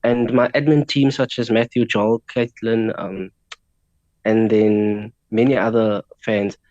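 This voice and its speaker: South african afrikaans-speaking guy on radio, earnest, lowish voice, dry sound. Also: little pitch movement, takes it slowly, a little mellow, dry recording, muffled recording. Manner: earnest, grateful. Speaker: petition organiser, guest. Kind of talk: radio interview.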